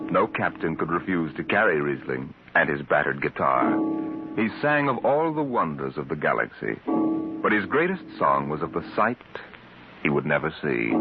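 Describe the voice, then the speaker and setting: A man giving dramatic scripted narration for an old-time radio show. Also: a bass voice. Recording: tinny old radio recording. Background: music.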